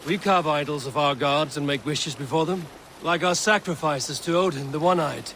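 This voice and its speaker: Man playing a higher-voiced, steady Viking raider. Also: moderate pace, a little hiss or hum, lightly acted. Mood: steady, curious. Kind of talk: video game cutscene acting. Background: game music, water.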